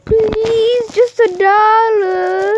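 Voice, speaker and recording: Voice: very high-pitched, steady tone, drawn-out words, lo-fi sound, voice pushed up, heavily acted. A performer with a youthful voice playing a rude, whiny robot in an improvised puppet show, begging and swearing. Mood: pleading. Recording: noisy amateur home recording.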